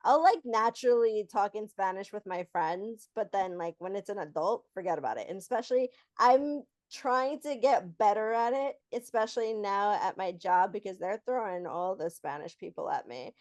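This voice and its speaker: A woman's voice: medium pitch, lively intonation, moderate pace, few pauses, a little mellow, no echo. A woman co-hosting a podcast, chatting casually and laughing easily. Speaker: podcast co-host.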